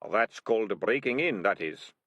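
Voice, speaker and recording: A man doing a creaky, suspicious rat catcher. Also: on the low side, lively intonation, normal speed, fairly bright tone, no echo.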